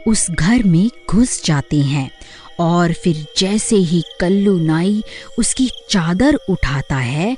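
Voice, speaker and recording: A woman's voice: on the low side, lively intonation, slow pace, a little mellow, faint music behind. A woman reading scripted narration for a cartoon horror story.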